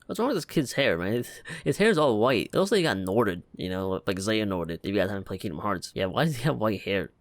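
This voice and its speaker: A man's voice: lowish voice, big pitch swings, a little fast, few pauses, no echo. A man giving casual, joking, slangy reaction commentary over gameplay. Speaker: gaming video creator. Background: game music.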